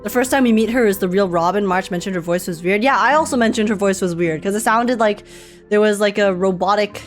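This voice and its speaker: A woman's voice: mid-range voice, fast. Casual, exclamatory woman streamer over music. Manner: informal, exclamatory, slangy. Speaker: streamer reacting to a game. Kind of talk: casual live reaction commentary. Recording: game music behind the voice.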